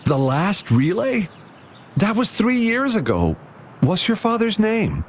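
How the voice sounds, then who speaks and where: Slow, lively, kindly young soldier. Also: male voice, high voice, a little hiss or hum, exaggerated voice. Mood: curious, friendly. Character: kindly young male soldier, gentle with children. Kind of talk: video game voice acting.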